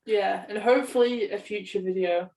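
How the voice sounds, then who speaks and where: A woman's voice: medium pitch, steady tone, moderate pace, mellow. A young woman talking casually as a co-host on a podcast. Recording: roomy, distant from the mic.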